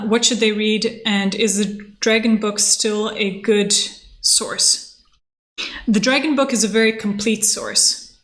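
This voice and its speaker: A woman, explaining technical things casually and haltingly on a livestream. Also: mid-range voice. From born in Moscow, grew up in Canada. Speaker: software engineer, livestream host.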